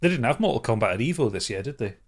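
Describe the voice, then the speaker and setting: A man's voice: medium pitch, big pitch swings, very fast. A man co-hosting a gaming podcast, reasoning through points in casual conversation. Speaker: podcast co-host.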